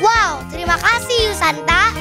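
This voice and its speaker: A woman's voice: extremely high pitch, normal speed. A woman voicing a cheerful, eager little baby shark in a children's cartoon. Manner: cheerful, eager, sing-song. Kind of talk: cartoon voice acting. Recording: loud children's music behind.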